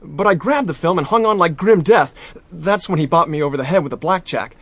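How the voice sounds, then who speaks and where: An actor playing a brash, excitable young American diplomat with slangy speech. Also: male voice, fairly high pitch, a little fast, no room sound. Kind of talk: radio drama acting.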